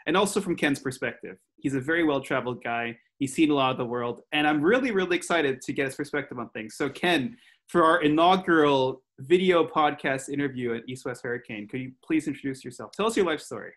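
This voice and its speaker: A young man hosting a podcast over a video call, introducing his guest and asking questions in a friendly, earnest, slightly halting way, on a headset mic at home. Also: medium pitch, fairly bright tone. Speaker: podcast host. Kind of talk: interview hosting.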